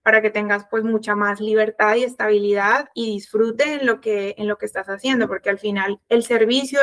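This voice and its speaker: A woman's voice: on the high side, fairly bright tone. A woman in her thirties with a Colombian Spanish accent, presenting an online workshop conversationally as a sales coach.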